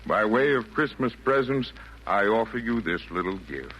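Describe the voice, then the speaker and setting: A man's voice: lowish voice, lively intonation, normal speed, a bit bright. An actor in a 1940s radio play voicing a kindly, jolly old man with genial, lilting, theatrical delivery of rhymed verse. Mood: genial, eager. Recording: noisy vintage 1940s radio broadcast.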